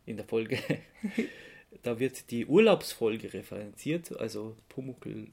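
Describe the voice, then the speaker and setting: Male podcast host, Austrian accent, conversational explainer, halting delivery with an amused edge. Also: fairly low pitch, animated, vocal fry, darkish tone, slightly rough. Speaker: podcast host presenting research. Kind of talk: casual podcast chat, explaining research.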